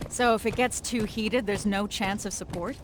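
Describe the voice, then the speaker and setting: A woman's voice: medium pitch, steady tone, moderate pace, noisy background, lightly acted. A woman voicing a frank, casual young female resistance soldier in a video game. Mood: casual, alert. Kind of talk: naturalistic video game acting.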